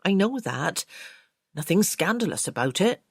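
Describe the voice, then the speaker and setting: A woman's voice: fairly low pitch, sing-song, normal speed, gravelly, breathy edge, no echo, studio quality, heavily acted. A woman voicing an artless, talkative servant girl with naive, colloquial speech in an audiobook. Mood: matter-of-fact.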